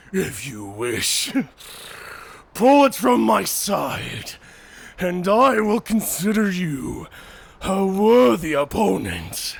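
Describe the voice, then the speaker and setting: Slow, gravelly, savage werewolf warrior. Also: male voice, high-pitched, dry recording, exaggerated voice. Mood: taunting challenge. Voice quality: gravelly, raspy growl. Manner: slow, menacing, theatrical, formal speech. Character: savage proud male werewolf warrior, honor-bound and taunting. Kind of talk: cinematic audio roleplay acting.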